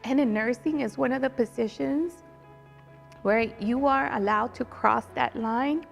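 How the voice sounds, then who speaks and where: A woman's voice: fairly high pitch, measured pace, vocal fry, soft background music. A woman in her thirties, a nurse whose first language is Spanish, speaking sincerely in an interview. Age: 30s. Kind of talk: interview testimony.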